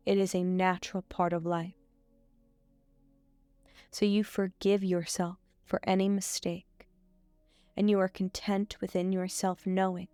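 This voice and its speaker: Female meditation guide: smooth, clear voice, unhurried and soothing, soft music behind. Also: on the low side, dry recording. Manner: soothing, measured delivery with frequent pauses. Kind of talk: scripted guided meditation, hypnotic suggestion.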